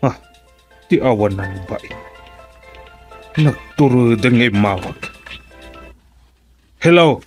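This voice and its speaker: A man's voice: mid-range voice, expressive, takes it slowly, long pauses, faint music behind. An actor playing a stern, angry older father of wealth in a radio drama, scolding and indignant.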